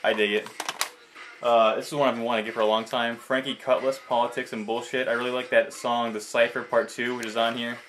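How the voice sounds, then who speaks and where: A man's voice: medium pitch, little pitch movement, a little fast, fairly bright tone, a little hiss or hum. A young man chatting casually and enthusiastically as a video host, slangy and prone to swearing, in an amateur recording. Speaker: video host showing a collection. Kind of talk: casual enthusiastic vlog chat.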